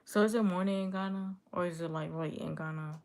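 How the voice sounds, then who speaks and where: A woman from Chicago hosts a casual livestream. She chats easily and answers viewers' comments aloud. Her voice sits low and stays level, with a gravelly edge. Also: steady tone, brisk, a little mellow. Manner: easygoing, chatty, reads chat comments aloud and answers them. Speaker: livestream host.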